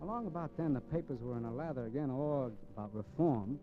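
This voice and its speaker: A man's voice: medium pitch, fast talker, close to an everyday voice. An actor playing a cocky, restless drifter crook in a 1950s radio crime drama, with slangy, wisecracking tough-guy talk. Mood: reflective narration. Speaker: radio drama actor, lead and first-person narrator. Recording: muffled vintage 1950s radio recording, faint music.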